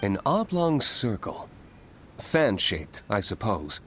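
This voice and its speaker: A man's voice: on the low side, big pitch swings, slow, a bit bright, a little hiss or hum, heavily acted. A man voicing a brusque, world-weary young man in a video game. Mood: dry, weary. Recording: music behind the voice.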